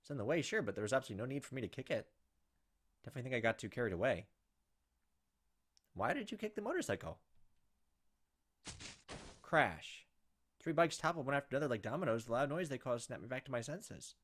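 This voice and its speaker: Fast, animated man narrating a game. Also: mid-range voice. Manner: animated, wry joking asides. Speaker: gameplay host reading a visual novel aloud. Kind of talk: let's play narration with casual commentary.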